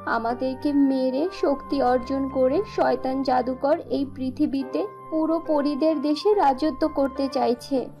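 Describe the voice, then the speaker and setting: A woman's voice: high voice, fairly even intonation, a little slow, dark tone, faint music behind. A woman voicing a loving, anxious mother fairy in a children's cartoon, tender and doting. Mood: anxious, protective.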